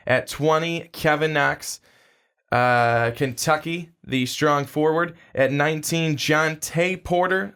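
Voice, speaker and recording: A man co-hosting a sports podcast, listing draft picks in a measured way with frequent fillers. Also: a little high, a bit bright, smooth. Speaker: podcast co-host.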